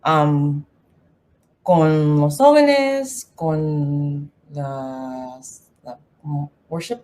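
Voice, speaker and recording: Woman, from the Philippines, lowish voice, slow and hesitant, nervous speaking Spanish. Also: warm tone, low-quality recording. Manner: hesitant, many fillers, slips into English. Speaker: guest. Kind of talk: nervous testimony in halting Spanish.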